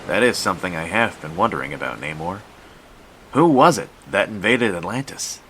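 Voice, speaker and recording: A man's voice: deep voice, sing-song, moderate pace, fairly bright tone, breathy edge, heavily acted, low-quality recording. A man playing a noble, earnest soldier hero in a superhero audio drama, speaking in a heartfelt way. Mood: resolute, appealing.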